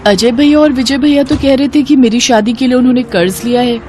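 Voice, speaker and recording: A woman's voice: high voice, steady tone, brisk, a little mellow, slight background noise, close to an everyday voice. A woman playing a hurt, puzzled young daughter-in-law in a cartoon family drama, earnest and questioning.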